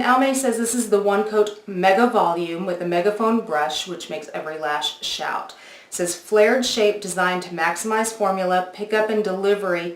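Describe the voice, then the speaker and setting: A woman in her forties giving a casual, explanatory product review, speaking directly to her viewers in a conversational way. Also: medium pitch. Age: 40s. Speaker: beauty video host. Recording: echoey untreated room.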